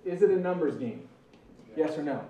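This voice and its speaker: A man's voice: a little high, animated, normal speed, mellow. Man lecturing from afar in an echoey room. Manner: conversational, repeats points, frequent "okay?" and "right?" checks. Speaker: business recruiting trainer. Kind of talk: live training talk to a room. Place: meeting room with audience. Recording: echoey room from a distance, faint background noise.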